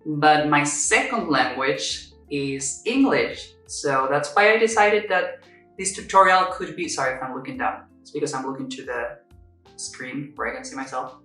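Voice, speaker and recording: A man's voice: a little high, moderate pace. Young man, Spanish accent, casual step-by-step makeup talk, roomy echo, faint background music. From Spain. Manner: chatty, explains step by step, self-correcting. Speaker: makeup vlogger. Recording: echoey room, somewhat far from mic. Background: soft music.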